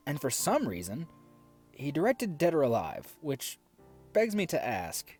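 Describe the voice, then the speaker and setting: A man's voice: a little high, wildly swooping pitch, a little slow, a little mellow, husky. Male reviewer, scripted commentary, dry sarcastic asides, bouncy intonation, faint music bed. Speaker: film reviewer.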